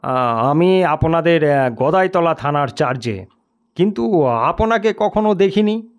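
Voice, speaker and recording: Give this storybook police officer, acted out by a man, a raised, widely swinging pitch. He is pompous and suspicious, blustering gruffly at whoever faces him and quick to believe in ghosts. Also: lively intonation. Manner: gruff, grave-toned, blustering. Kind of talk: dramatised audio storybook character.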